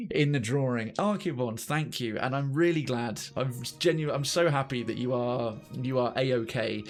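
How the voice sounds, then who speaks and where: A man's voice: medium pitch, lively intonation, measured pace, soft background music. A man hosting a game livestream, chatting casually with his viewers and repeating phrases for emphasis.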